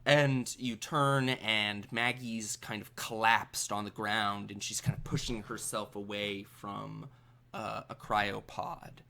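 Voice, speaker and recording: A man runs a tabletop roleplaying game, narrating slowly with a creaky edge to his voice. He builds suspense in halting, improvised phrases and lets them trail off. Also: fairly low pitch, steady tone, bright, forceful tone. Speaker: game master.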